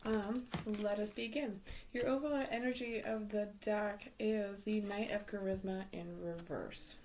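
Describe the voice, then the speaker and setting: A woman talks through a tarot reading in a relaxed, unhurried way, filling pauses with ums. Her voice is failing her, so she sounds a little strained and hoarse. A steady noise sits under the recording. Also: mid-range voice. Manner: relaxed, many ums, repeats words. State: losing her voice, coughing. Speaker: tarot reader. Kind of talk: casual tarot reading to camera.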